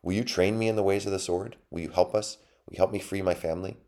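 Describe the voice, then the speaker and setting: A man's voice: sits low, fairly even intonation, quick pace, a little mellow, voice pushed up, natural voice. A man voicing a tiny, defiant young male mouse knight who speaks in a haughty, challenging way. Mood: pleading.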